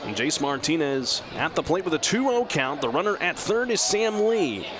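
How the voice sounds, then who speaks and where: A man working as a radio play-by-play announcer, giving live baseball commentary in a measured, descriptive way that lifts with the action. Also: fairly high pitch, big pitch swings. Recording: clear outdoor ballpark background noise. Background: outdoor stadium ambience.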